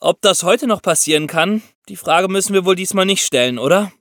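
Curious boy on a podcast, short casual questions, mid-pitched, no room sound. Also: animated, brisk, fairly bright tone, no echo, studio quality. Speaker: podcast co-host.

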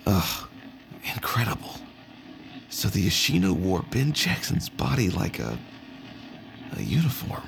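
An intrigued interviewer in an audio drama. His low voice has a creaky edge and moves expressively as he gently prompts, curious and a little hesitant. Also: huge pitch swings, a little slow, pauses often, exaggerated voice. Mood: fascinated. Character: fascinated male interviewer listening to an old tale.

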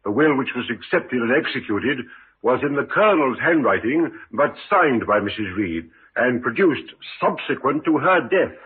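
An actor playing a polite, worried townsman in an old radio drama, husky and formal. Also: medium pitch, animated, moderate pace, slight background noise, natural voice. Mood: earnest. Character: polite, worried English townsman accusing a colonel. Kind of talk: 1950s radio drama acting. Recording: muffled, tinny old radio recording.

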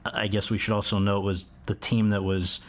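Male host interviewer, lowish voice, measured and probing, keeps the conversation moving. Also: flat delivery. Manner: probing, measured, frequent agreeing backchannels. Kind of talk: interview questions.

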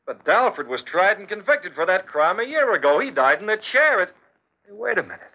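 A man's voice: high voice, sing-song, brisk, full character voice. A man playing a decisive, authoritative newspaper publisher with a commanding manner in a 1940s radio drama. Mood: keen, pondering. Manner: crisp, commanding. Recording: dull old radio broadcast recording.

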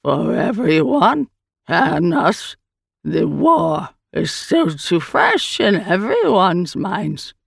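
A woman voicing a stern, guarded creature in an audiobook story, with drawn-out, deliberate speech. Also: fairly low pitch, big pitch swings, creaky, husky, no room sound, clean studio sound, heavily acted. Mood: grave, accusing. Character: the Eye, floating many-armed creature, slow, stern, guarded.

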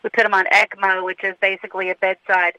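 A man's voice: high-pitched, level tone, fast, pushed and bright, no echo. A man in his fifties with a Southern accent, calling a radio show to earnestly explain his hospital work. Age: 50s. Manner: earnest, admiring, explains medical terms plainly. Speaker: caller, cardiac surgery worker. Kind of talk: radio call-in. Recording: muffled phone line.

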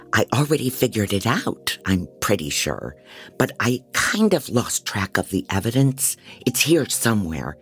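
An actress playing a scattered, jaded woman private detective who narrates bluntly in a deep, husky voice, as in a noir audio drama. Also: full character voice. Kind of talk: first-person scripted noir narration.

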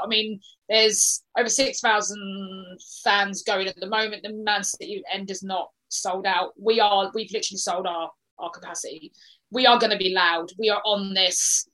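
A woman's voice: medium pitch, steady tone, normal speed, pushed and bright, rough recording. A woman, a guest on a football podcast, talking with fervent, emphatic passion about her team. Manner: passionate, emphatic supporter talk. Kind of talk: casual podcast chat.

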